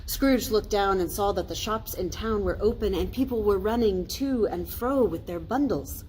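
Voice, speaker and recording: A woman pastor reading a storybook aloud in an expressive children's storytime style. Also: mid-range voice, normal speed, slight background noise, poor audio.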